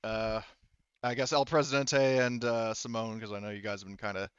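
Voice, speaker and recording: A man's voice: lowish voice, steady tone, brisk, a bit bright, clean tone, no echo, lo-fi sound. A man running a tabletop game as game master, narrating casually and explaining rules, often hedging and correcting himself.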